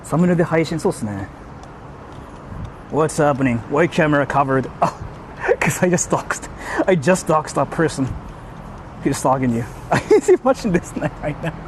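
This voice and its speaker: A man in casual livestream mode, speaking in a slightly higher voice than most men. He is hesitant and circles back over his own phrases. Some background noise sits behind him. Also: lively intonation, normal speed, long pauses. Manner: hesitant, repetitive, mixes English and Japanese. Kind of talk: casual livestream chat with viewers.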